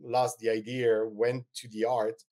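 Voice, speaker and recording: A man's voice: medium pitch, little pitch movement, measured pace, dark tone. A middle-aged man from France with a French accent, a podcast guest talking in a rambling, hesitant way with many ums. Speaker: guest, eyewear designer. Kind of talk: casual interview chat.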